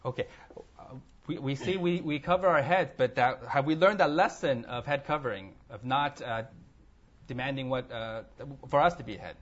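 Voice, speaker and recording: A man interpreting a sermon phrase by phrase, often halting as he searches for his words. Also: medium pitch, fairly bright tone. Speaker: church interpreter. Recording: dull, lo-fi audio.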